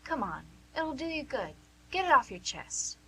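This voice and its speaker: Woman playing a wry, bright-voiced knowing nurse. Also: high-pitched, sing-song, heavily acted, rough recording. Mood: coaxing. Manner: teasing, probing, deliberate. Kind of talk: amateur radio play acting. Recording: amateur radio recording with hum and noise.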